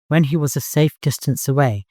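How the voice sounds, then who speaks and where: A narrator reads dramatic fiction for an audiobook. The voice sits at a middle pitch. The recording is dry, with no room sound. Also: a little fast, dark tone, no echo.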